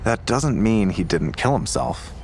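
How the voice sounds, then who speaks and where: An actor playing a calm, skeptical male investigator in an audio drama. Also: fairly low pitch, measured pace, slight background noise.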